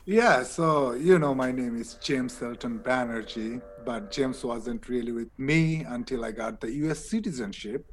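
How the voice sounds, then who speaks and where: A man's voice: medium pitch, measured pace, few pauses. A man with a Bangladeshi English accent, telling his story as a guest in a casual interview and laughing between points.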